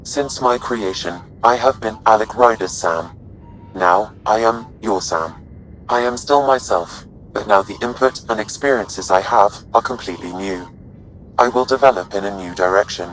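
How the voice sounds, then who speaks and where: An actor voicing a calm, courteous male artificial intelligence in a video game, speaking in measured, formal, precise phrases. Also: on the low side, slight background noise, lightly acted, low-quality recording. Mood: calm, reflective.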